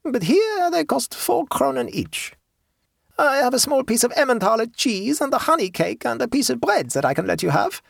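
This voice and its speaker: High female peasant character, acted by a narrator, storybook, calm, shrewd, matter-of-fact, slightly creaky. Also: dry recording, clean studio sound, full character voice. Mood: calm haggling. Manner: tranquil, unhurried, matter-of-fact. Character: calm, stolid, haggling peasant woman, devout and shrewd.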